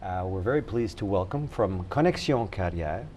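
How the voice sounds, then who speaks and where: A man's voice: low voice, lively intonation, normal speed, a little mellow, slight background noise. A man hosting a local TV interview show, folksy and joking, with frequent fillers. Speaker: TV talk show host.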